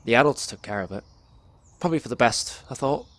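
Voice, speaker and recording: A young man performs a dramatic spoken word monologue in a measured, deliberate storytelling way, pausing between phrases. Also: mid-range voice, animated, fairly bright tone. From England. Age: young adult.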